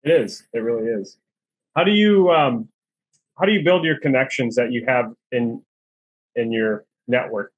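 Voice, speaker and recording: A man's voice: mid-range voice, normal speed, no echo, lo-fi sound. A man hosting a podcast, asking questions in a casual conversational way. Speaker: podcast host, interviewer.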